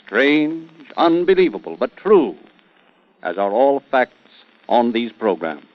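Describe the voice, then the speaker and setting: A man's voice: mid-range voice, sing-song, takes it slowly, very noisy. Grave theatrical man narrating old-time radio. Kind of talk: dramatic 1930s radio narration. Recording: dull old radio recording, music behind.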